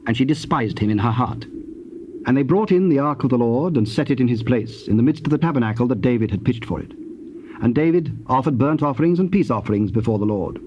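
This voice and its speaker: A man narrating dramatized scripture in a measured, formal storytelling manner. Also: mid-range voice, animated. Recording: dull tape with faint noise.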